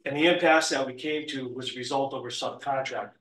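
A man, a union representative, hoarse from a cold, giving a formal explanation at a school board meeting from a podium. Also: mid-range voice, steady tone, a little fast, fairly bright tone, far from the mic. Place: meeting room, standing at a wooden podium. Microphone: podium mic, heard from a far camera. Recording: echoey room, voice heard from afar.

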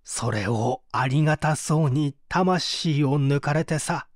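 A man voicing a jealous, coarse-tongued woman who mocks with rough, slangy speech in a mystery audio drama. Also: fairly high pitch, slow, no room sound, studio quality. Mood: jeering, spiteful. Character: spiteful, jealous, coarse-tongued woman taunting her lover.

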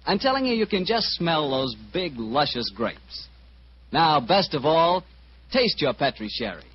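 A man's voice: fairly high pitch, big pitch swings, unhurried, breathy edge. A man with an American accent announcing in polished, salesmanlike 1940s radio style. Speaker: announcer and sponsor pitchman. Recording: muffled, hissy old radio broadcast.

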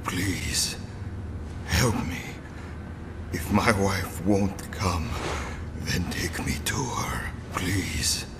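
An actor voicing a tormented male scientist turned vampire in a video game, halting, pained and pleading. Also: low-pitched, background noise, exaggerated voice. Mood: weak, pleading. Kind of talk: video game cutscene acting.

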